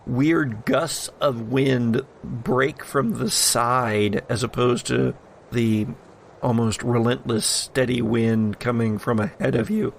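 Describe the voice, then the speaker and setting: A man acting as game master, deliberately narrating scenes and explaining rules for a tabletop roleplaying game. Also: medium pitch, creaky, slight background noise.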